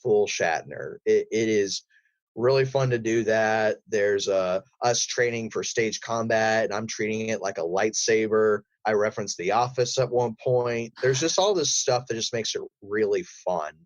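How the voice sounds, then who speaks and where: A man's voice: fairly low pitch, a little slow, dry recording, poor audio. A man who works as a voice actor, talking casually as a guest in an interview.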